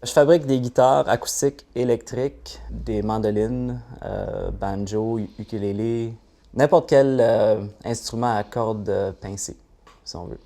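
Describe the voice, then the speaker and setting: A man, a guitar maker speaking calmly with hesitant fillers in a documentary interview. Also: mid-range voice, measured pace. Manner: calm, soft-spoken, hesitant fillers.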